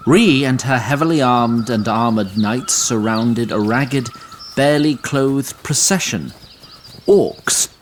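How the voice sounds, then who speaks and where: Guy narrating a fantasy audio drama, measured, literary, bit wry, faint background noise. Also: mid-range voice. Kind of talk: scripted fantasy story narration.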